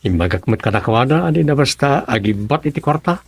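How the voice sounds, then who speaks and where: A man's voice: mid-range voice, big pitch swings, a little fast, gravelly, slightly rough, no echo, exaggerated voice. A man playing a concerned, protective village man in an Ilocano radio comedy drama, with an Ilocano accent. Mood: earnest.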